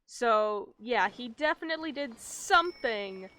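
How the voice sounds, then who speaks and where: A woman livestreaming a game with casual, expressive commentary full of swearing and exaggerated dismay. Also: on the high side, a little slow. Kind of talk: casual gaming commentary with read-aloud game text. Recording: game music faintly behind. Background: video game music.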